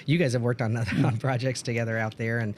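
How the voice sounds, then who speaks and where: A male podcast host with a medium-pitched voice talks in a loose, conversational way. He sounds enthusiastic and readily gushes as he goes. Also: little pitch movement, a little fast, a little hiss or hum. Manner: enthusiastic, gushing about past projects.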